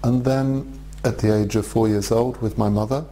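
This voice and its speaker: Low, flat-voiced English man in his forties. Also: slight background noise. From Russia, raised in England. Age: 40s. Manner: measured, thoughtful, many hesitations. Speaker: Buddhist teacher. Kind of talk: direct-to-camera video address.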